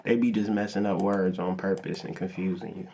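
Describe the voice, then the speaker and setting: Low-voiced man reading with slangy asides. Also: fairly even intonation, a little fast, gravelly, warm tone, highs cut off. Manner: reads passages aloud, then breaks off into slangy asides to listeners. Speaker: podcast host. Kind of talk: casual lecture reading from a text.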